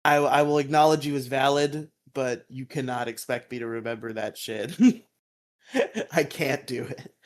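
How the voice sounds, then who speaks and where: A man's voice: measured pace, slight background noise. Laughing, chatty man on a headset mic. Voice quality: fairly high voice. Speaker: podcast co-host. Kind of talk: casual chat.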